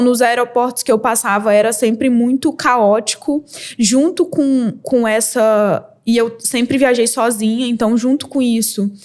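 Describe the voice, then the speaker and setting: A young woman from Brazil, a model and entrepreneur, telling her story as a podcast guest in a candid, lively way with quick bursts and laughs. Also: a little high. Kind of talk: casual interview storytelling.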